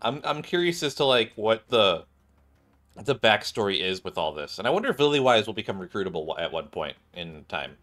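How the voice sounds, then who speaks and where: A man's voice: lowish voice, big pitch swings, a little fast, fairly bright tone. A man giving casual live game commentary, hesitant and repeating words as he muses. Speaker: game streamer reacting to cutscenes. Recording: game music behind the voice.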